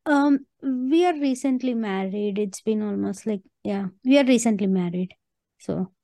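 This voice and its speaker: A woman's voice: mid-range voice, unhurried, dark tone, no room sound. A woman calling in with questions, speaking in a hesitant, conversational way. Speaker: caller.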